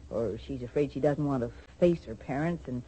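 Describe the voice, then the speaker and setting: An actress playing a gentle, worried middle-aged mother in a 1940s radio soap, speaking hesitantly and tenderly. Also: rumbling low, fairly quick, slight background noise, poor audio. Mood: earnest advising.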